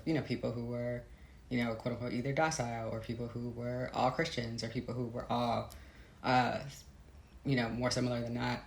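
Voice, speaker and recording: Female interviewee, author type. Crackly vocal fry, thoughtful and searching delivery, reverberant room sound. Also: rumbling low. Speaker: interviewed novelist. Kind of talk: reflective conversational interview. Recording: roomy, echoey sound.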